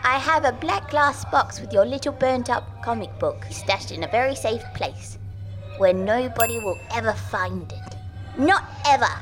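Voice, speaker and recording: A man's voice: very high voice, expressive, measured pace, fairly bright tone, faint music behind, pitched above the performer's own voice, exaggerated voice, poor audio. A man voicing an eerie little girl who talks in a grave, grown-up serious tone. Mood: solemn. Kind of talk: audiobook character voice.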